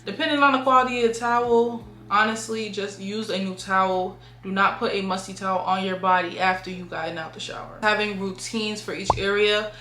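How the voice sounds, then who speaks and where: Young woman vlogging tips, super casual, lots of like and y'all, bright voice. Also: medium pitch, steady tone, a little fast, hardly any pauses, reverberant, a little hiss or hum. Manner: chatty and frank, many "like"s, addresses viewers as y'all. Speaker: beauty and lifestyle vlogger. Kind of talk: casual advice monologue to camera.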